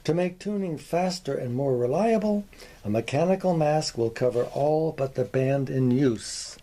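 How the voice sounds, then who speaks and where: Mellow-voiced man presenting, slightly high-pitched. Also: a little slow. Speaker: host of a workshop video on antique radios. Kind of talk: measured presentational narration.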